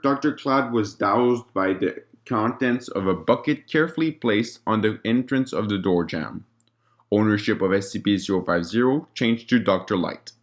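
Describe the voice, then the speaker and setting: A man narrating a scripted document log in a formal, report-like way, with an occasional stumble over the words. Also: on the low side.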